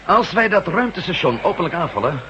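A man playing a confident, quick-thinking adventurer in a radio drama, assured and matter-of-fact. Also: sits high, sing-song, pushed and bright, full character voice. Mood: assured, urgent. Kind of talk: Dutch radio drama acting. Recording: dull, very noisy old radio recording.

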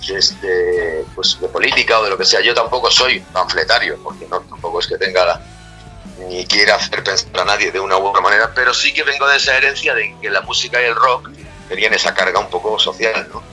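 A middle-aged Spanish rock singer speaks in a very low voice with a rough, cracked edge and a sharp, forceful tone. He rambles loosely through his answers and keeps tacking little questions onto the ends of his sentences. Music plays faintly under the interview. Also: brisk, low-quality recording. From Spain. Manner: rambling, many restarts and tag questions. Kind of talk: casual interview talk.

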